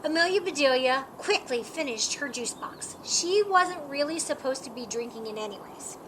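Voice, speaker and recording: A woman with a high, very lively voice reads a picture book to children. She stresses and stretches key words in an expressive storytime delivery. There is noticeable background noise in the recording. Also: rough recording. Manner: animated, emphatic, drawn-out key words. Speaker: storytime reader.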